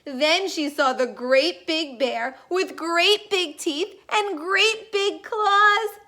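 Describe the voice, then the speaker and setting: A woman reading a children's story aloud, with expressive, deliberate emphasis on key words. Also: extremely high pitch.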